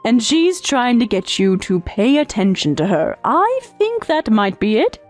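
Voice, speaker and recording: A woman's voice: fairly high pitch, big pitch swings, measured pace, soft background music. A woman playing a caring, observant working mother in an anime-style romantic comedy dub. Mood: gentle, knowing.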